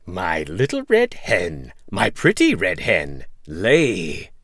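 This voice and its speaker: A man voicing a huge, greedy, menacing giant from a storybook, with a rough, gruff, drawn-out delivery. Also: huge pitch swings, fairly bright tone, no echo, studio quality, exaggerated voice. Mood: commanding, gloating. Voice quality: gravelly, rough. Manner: slow, drawn-out, booming delivery. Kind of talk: storybook character voice.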